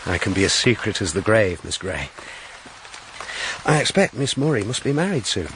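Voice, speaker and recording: A man's voice: fairly low pitch, very animated, normal speed, fairly bright tone, rough recording. An actor playing a courteous, wry young country clergyman in a period audio drama. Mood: teasing. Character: gallant, teasing, earnest country clergyman. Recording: rain noise behind the voice.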